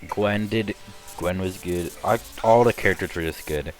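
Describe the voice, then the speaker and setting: A young man with a slightly raspy voice, chatting casually and rambling while commenting on his gameplay. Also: low voice, normal speed, soft background music. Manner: rambling, chatty, many restarts and fillers. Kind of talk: casual let's-play chat.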